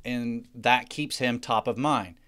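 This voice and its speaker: Male presenter for screencast tutorial, smooth clean voice, mid pitch, plain instructional delivery. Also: slow, fairly bright tone. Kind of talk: explanatory screencast narration. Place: indoors, voice-over to screen recording.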